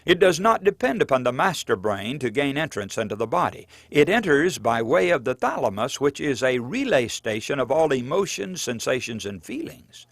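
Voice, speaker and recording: A man reading his own book aloud as scripted audiobook narration, in an earnest, measured preaching cadence. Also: medium pitch, sing-song, gravelly, a bit bright, dry recording.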